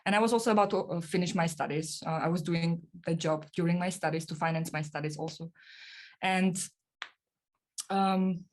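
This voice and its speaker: Woman, young adult, podcast guest, lowish pitch, hesitant, many fillers. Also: steady tone, normal speed. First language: not German. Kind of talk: casual interview answers.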